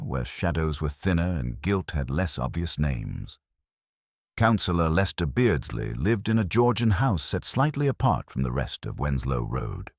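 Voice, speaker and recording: A man's voice: extremely low pitch, no echo, studio quality. A man reading scripted narration of a detective mystery story.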